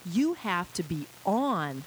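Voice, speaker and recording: A woman's voice: on the low side, big pitch swings, takes it slowly. A woman hosting a live radio interview show, bubbly and effusive with her guests. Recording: faint tape hiss.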